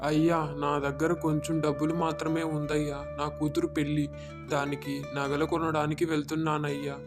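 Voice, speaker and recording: Cartoon villager, male actor: poor bride's father, deferential and pleading, slightly high male pitch, music behind. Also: flat delivery, normal speed, lightly acted. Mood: pleading, fearful.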